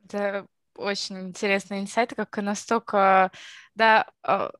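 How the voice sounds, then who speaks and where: A woman's voice: medium pitch, little pitch movement, measured pace, a bit bright, no room sound. A young woman hosting an interview over a video call on a headset, asking questions hesitantly and searching for words. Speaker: interviewer, host.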